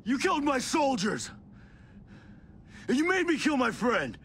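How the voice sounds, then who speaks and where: An actor playing a bitter, defiant soldier in a video game, calling out accusingly. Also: male voice, very high voice, sing-song, a little slow, a bit bright, exaggerated voice. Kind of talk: video game cutscene acting. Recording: faint ambient noise behind.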